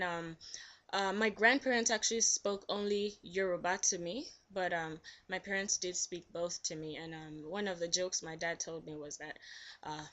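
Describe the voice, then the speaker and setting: A woman's voice: medium pitch, fairly bright tone, muffled recording. A Nigerian woman in her twenties answering interview questions thoughtfully, with frequent ums. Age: 20s.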